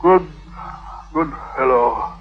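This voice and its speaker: An actor playing an ailing, gullible, good-natured husband in an old-time radio drama, halting and trusting. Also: male voice, mid-range voice, natural voice. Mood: weak, grateful. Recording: tinny old radio recording, faint music.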